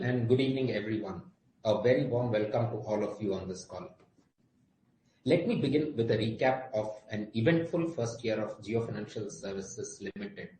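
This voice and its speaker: A man's voice: on the low side, warm tone. A man with an Indian accent, a company executive giving a formal prepared presentation on an earnings call. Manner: formal, scripted delivery. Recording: roomy echo, faint background noise.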